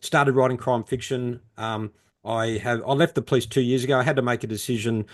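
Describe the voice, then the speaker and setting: A man's voice: mid-range voice, steady tone, fairly quick. A middle-aged man, talking conversationally about his work as an interview guest and novelist. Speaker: podcast guest, crime novelist.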